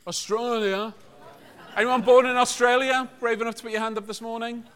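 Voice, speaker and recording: A man's voice: very high-pitched, steady tone, fairly quick, fairly bright tone, clean tone, a faint crowd. A man from Liverpool preaches to a congregation in a conversational, joking way, often putting questions to his listeners. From Liverpool, England.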